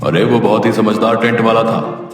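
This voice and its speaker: A man's voice: on the low side, level tone, normal speed, natural voice. Man playing an echoey miserly merchant. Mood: pleased, scheming. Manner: haggling, blunt, dismissive. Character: miserly middle-aged male merchant, shrewd and grumbling. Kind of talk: Hindi cartoon story acting. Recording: echoey, distant, faint music behind.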